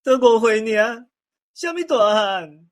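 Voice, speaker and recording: A man's voice: sits high, animated, takes it slowly, pitched above the performer's own voice. A man voicing a worried, tearful old grandpa with a Taiwanese accent in an audio drama folktale. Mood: tearful protest. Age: older. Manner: fretful, protesting.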